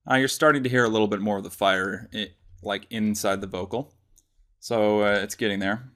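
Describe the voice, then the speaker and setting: A man presenting a casual software tutorial, explaining step by step in an informal way. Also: on the low side, fairly even intonation, fairly quick.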